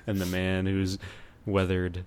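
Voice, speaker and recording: A man hosting a podcast in casual chat, often pausing partway through his sentences. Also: deep voice, completely flat delivery. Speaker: podcast host. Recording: noticeable background noise.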